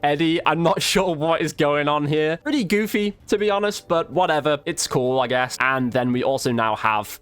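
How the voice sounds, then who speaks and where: A man's voice: fairly high pitch, normal speed, a bit bright, clear, resonant tone, faint music behind. A man giving casual, informal game review commentary as a video host, chatting directly to his viewers.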